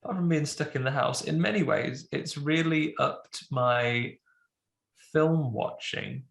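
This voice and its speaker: A man's voice: fairly high pitch, a little slow, gravelly, a bit bright, reverberant. A man co-hosting a podcast, chatting casually and a bit snuffly from a cold, often restarting his sentences. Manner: rambling, self-interrupting. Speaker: podcast co-host. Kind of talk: casual chat.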